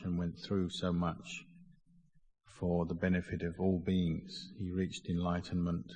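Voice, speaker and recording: Male meditation teacher: very slow, monotone, deliberate instruction, recorded with long hall reverb. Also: deep voice, husky. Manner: measured, deliberate phrasing with pauses.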